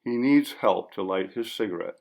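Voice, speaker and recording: A man narrating literary fiction from a script in a measured, sober, clipped manner. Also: on the low side, animated, creaky, a little mellow. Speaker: audiobook narrator.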